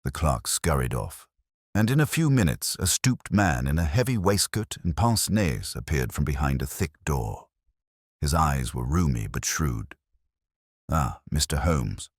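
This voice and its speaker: A man narrating a scripted story in a measured, literary, dramatic manner. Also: very deep voice, very animated, dry recording, clean studio sound.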